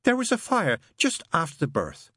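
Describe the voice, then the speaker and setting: A prim woman from a storybook as a male narrator puts her on. Her voice is lifted high and slightly husky, severe or blankly dreamy, in a dry recording. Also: huge pitch swings, moderate pace, dry recording, full character voice. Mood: wistful, dazed. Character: severe businesswoman, turned blank and dreamy when entranced.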